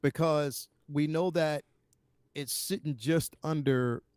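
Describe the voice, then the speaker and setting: A man's voice: a little high, fairly even intonation, slow pace, a little mellow, clear, resonant tone, no echo. Man co-hosting, very dry sound. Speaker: talk show co-host. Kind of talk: casual fan discussion.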